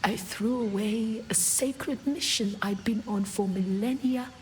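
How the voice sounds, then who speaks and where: An actress playing a weary, motherly immortal mentor in a video game cutscene, grave and tender, at times hushed. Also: mid-range voice, a little slow, a little hiss or hum, close to an everyday voice. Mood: regretful confession.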